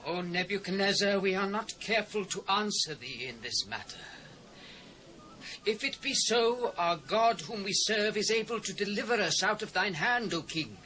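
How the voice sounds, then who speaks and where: A man's voice: high voice, animated, moderate pace, bright, forceful tone, poor audio. A British actor playing a devout, defiant male captive before a king, earnest and formal, in a dramatised scripture reading. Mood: resolute, defiant. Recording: faint hiss, old cassette transfer.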